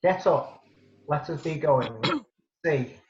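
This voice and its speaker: Guy doing a solemn sad holy teacher in a bible play, measured, roomy muffled sound. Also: fairly high pitch, animated, a crowd in the background. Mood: reproving, resolute. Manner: solemn, measured scripture delivery. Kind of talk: dramatised Bible reading. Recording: echoey room, dull lo-fi sound.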